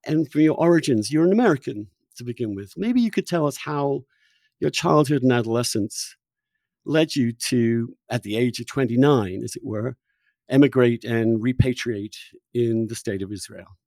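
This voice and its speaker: A man hosts an interview podcast in a relaxed, conversational way, often restarting and rephrasing his sentences. His voice is dark and mellow. It sounds close and dry, with no room around it. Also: medium pitch, normal speed, no echo. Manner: conversational, rambling self-corrections. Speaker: podcast host.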